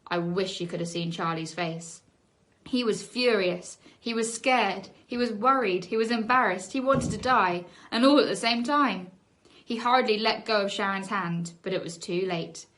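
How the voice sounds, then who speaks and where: A woman teacher reading a children's book aloud in an animated storybook style, leaning on key words with dramatic emphasis. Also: mid-range voice, normal speed, poor audio.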